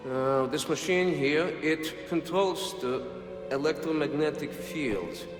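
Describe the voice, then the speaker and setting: Elderly scholar, high-pitched. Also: male voice. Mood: eager, instructive. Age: older. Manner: halting, repetitive, non-native phrasing. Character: elderly male mystic scholar, gentle, eccentric guardian of ancient secrets. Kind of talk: video game cutscene acting. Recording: echoey hall with music and game effects.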